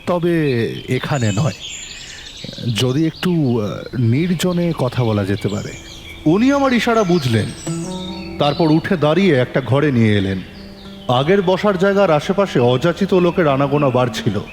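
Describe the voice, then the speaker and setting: A police detective , played by a man, recounts his investigation in an audio drama. His voice is fairly high and very expressive, shifting between storytelling and polite probing questions. It rings with long reverb over faint music. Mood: measured, observant. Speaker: narrator and lead actor of an audio story.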